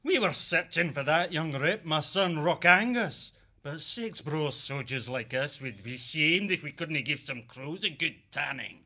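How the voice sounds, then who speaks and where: A man's voice: a little high, expressive, a little slow, sharp tone, exaggerated voice. A man voicing a proud old male falcon clan chief with a Scottish accent, hearty and commanding.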